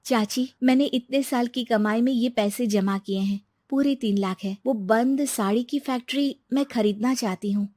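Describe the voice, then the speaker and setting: A woman's voice: fairly high pitch, normal speed, few pauses, dark tone, close to an everyday voice. A woman voicing a determined, earnest young businesswoman.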